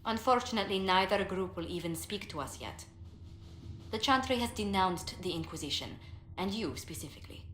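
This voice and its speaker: An actress playing a poised, tactful advisor in a fantasy video game, speaking politely and with measured diplomacy. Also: female voice, mid-range voice, animated, a bit bright, natural voice. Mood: concerned, explanatory. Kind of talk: video game cutscene acting. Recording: roomy echo, noisy ambience.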